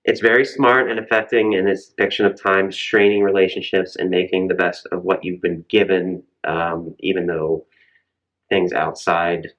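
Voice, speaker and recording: Low-voiced man, slightly muffled recording. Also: fairly bright tone. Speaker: library podcast co-host. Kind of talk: casual book recommendation chat.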